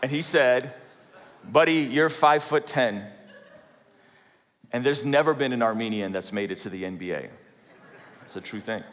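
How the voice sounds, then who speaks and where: A man's voice: medium pitch, moderate pace, pauses often, fairly bright tone. Joking man telling his story, hall reverb. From Azerbaijan. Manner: joking, self-deprecating storytelling. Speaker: conference speaker. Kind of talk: personal story talk to a conference audience. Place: large conference hall.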